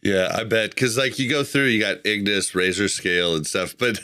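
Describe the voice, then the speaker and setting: A man's voice: fairly low pitch, moderate pace, pushed and bright, clean tone, no room sound, studio quality. A man hosting a casual gaming podcast, easygoing and laughing along with his guest. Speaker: podcast host.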